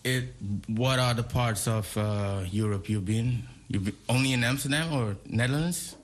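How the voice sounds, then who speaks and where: A man's voice: medium pitch, moderate pace. A man hosting a casual interview, asking rambling questions that often restart midway. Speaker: interviewer host.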